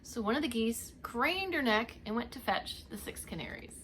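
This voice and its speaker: Woman, preschool storyteller, lively swooping intonation, theatrical drawn-out words, speaks to the listeners, some background noise. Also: fairly high pitch, rough recording. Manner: theatrical, drawing out action words and addressing listeners. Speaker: preschool teacher reading a picture book aloud. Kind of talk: animated read-aloud storytelling for young children.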